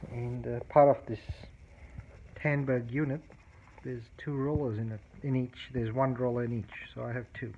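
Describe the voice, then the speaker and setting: A man casually demonstrating a tape recorder repair at his workbench, explaining step by step in a halting way, with many fillers and restarts. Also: medium pitch, flat delivery. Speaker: hobbyist repairer. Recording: dull, lo-fi audio with clear background noise and clicks.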